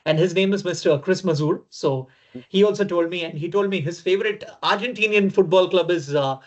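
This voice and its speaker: A man of Indian origin hosting a podcast, asking questions in casual chat and often saying "you know". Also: high voice. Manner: quick, with frequent "you know" and short reactions. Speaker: podcast host. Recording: dull lo-fi remote audio.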